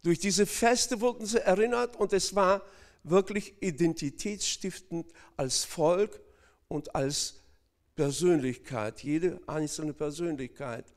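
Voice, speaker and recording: Slow, hesitant male preacher. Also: sits high. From Northern Kazakhstan. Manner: hesitant, many fillers, addresses congregation directly. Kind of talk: sermon.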